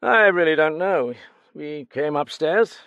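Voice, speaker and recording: A man doing a wary, sardonic French gentleman. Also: a little high, animated, slow, smooth, no echo, muffled recording, voice pushed up, heavily acted. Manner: wary, dry.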